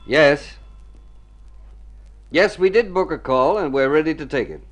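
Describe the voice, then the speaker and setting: An actor playing a worried, tender family friend in mid-century radio drama, earnest and trying to stay practical. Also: male voice, a little high, expressive, a little slow. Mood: businesslike.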